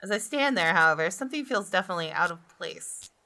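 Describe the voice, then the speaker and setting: A woman gamer reading a visual novel aloud as expressive first-person story narration, with music behind her. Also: fairly low pitch, fast. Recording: guitar game music behind the voice. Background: game music with guitar.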